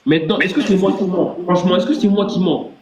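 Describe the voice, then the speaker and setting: A man's voice: high voice, quick pace. A man hosting a casual live stream, stammering and repeating himself with playful, theatrical outrage. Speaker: livestream host. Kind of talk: casual livestream chat. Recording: roomy, dull lo-fi sound. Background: music.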